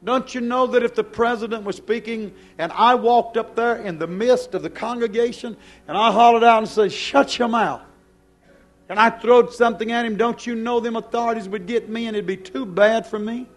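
A man preaching a fiery, stern sermon, accusing his congregation with emphatic repeated questions and swinging between hushed and loud delivery. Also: very high-pitched, steady tone, brisk, few pauses. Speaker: preacher, church pastor. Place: church service before a congregation.

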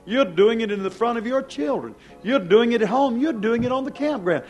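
A male preacher delivers a hellfire sermon in a notably high-pitched voice. He is stern and accusing, hammering his congregation with pointed questions and shifting between hushed and loud phrases. Faint music sits behind his voice. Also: lively intonation, brisk, highs cut off. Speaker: preacher, church pastor. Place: church service before a congregation.

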